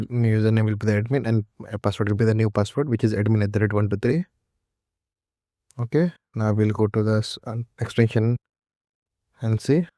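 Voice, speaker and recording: Low, flat-toned man giving technical instructions. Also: no room sound, clean studio sound. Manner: halting, repetitive step-by-step instruction. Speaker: technical tutorial presenter. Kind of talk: unscripted software setup walkthrough.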